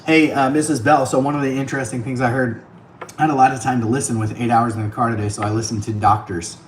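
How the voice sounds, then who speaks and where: A man's voice: mid-range voice, steady tone, fast talker, roomy sound, a little hiss or hum, low-quality recording. A man hosting a casual livestream, chatty and thanking viewers by name, laughing often, his voice a bit worn. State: voice getting worn. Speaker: livestream host. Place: on the road, streaming from an iPad. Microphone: tablet mic.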